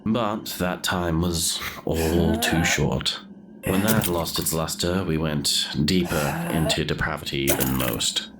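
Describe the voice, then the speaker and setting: A man playing a haunted, guilt-ridden young grave robber who narrates his ruin in a brooding, deliberate way for an audio drama, with noise behind him. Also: a bass voice. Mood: grim, reflective. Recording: clear background noise under the voice.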